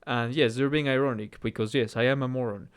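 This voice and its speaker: Male host, Mexican accent, mid-pitched, earnest reflective chat with self-mocking asides. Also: steady tone, a little fast, smooth. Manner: stops to correct his own wording. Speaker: channel host. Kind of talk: conversational video essay.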